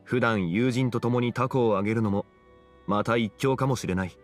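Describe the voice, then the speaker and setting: An actor playing a calm, dignified gentleman in an anime game, speaking formally and in measured, old-fashioned phrases. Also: medium pitch, in a large hall, soft background music, close to an everyday voice. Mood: composed, musing. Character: calm, dignified gentleman, an unhurried scholarly consultant.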